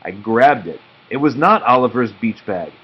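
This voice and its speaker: A man narrating a children's story aloud expressively and deliberately, with dramatic pauses. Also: medium pitch, animated, breathy edge. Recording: muffled audio with faint background noise.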